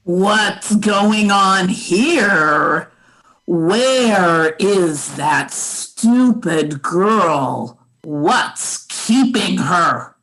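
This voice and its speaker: A woman as a slow, imperious old grand dame. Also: on the low side, lively intonation, a bit bright, full character voice, low-quality recording. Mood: imperious, irritated. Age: older. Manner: haughty, drawn-out, commanding delivery. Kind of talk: radio play acting. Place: remote home recording over video call. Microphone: video call.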